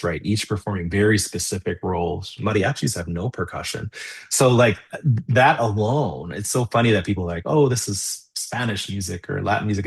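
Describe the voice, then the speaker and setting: A middle-aged man speaking as an interview guest, thoughtful and easy to laugh, animated when explaining. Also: lowish voice, husky. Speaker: guest, composer and theology professor. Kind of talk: conversational interview.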